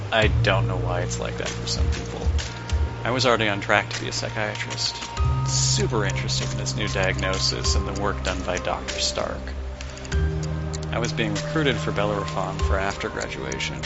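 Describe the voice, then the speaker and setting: Low-voiced weary, compassionate psychiatrist played by a man. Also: dull audio. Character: world-weary compassionate male psychiatrist, haunted by his past. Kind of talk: audio drama monologue.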